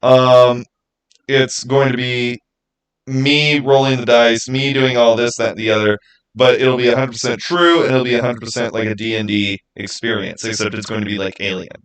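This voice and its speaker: A man's voice: mid-range voice, steady tone, normal speed, fairly bright tone, poor audio. A man chatting informally as a livestream host, answering viewer questions and rambling as he thinks aloud. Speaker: livestream host and game master.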